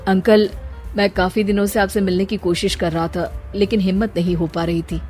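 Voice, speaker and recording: A woman's voice: mid-range voice, little pitch movement, normal speed, a little mellow, faint music behind, natural voice. A woman voicing a kind, devoted young husband in a cartoon story, earnest and soothing. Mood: hesitant, polite.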